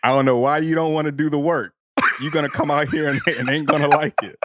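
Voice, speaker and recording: A man's voice: on the high side, fairly even intonation, quick pace, a bit bright, slight background noise. An American man co-hosting a podcast, trading casual banter and mock-dramatic reading. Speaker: podcast co-host.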